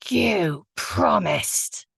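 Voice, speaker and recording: Woman doing a ghost lady from an old legend, intense and emphatic, creaky, dry close sound. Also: on the low side, moderate pace, a little mellow, no room sound. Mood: shrieking accusation. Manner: dramatic, emphatic storybook speech.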